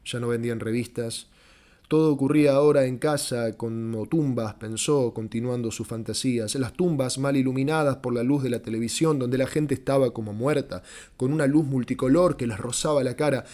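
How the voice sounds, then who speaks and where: A man's voice: medium pitch, normal speed, dark tone. A man narrating a literary short story as an audiobook, in a scripted, dramatic storytelling style.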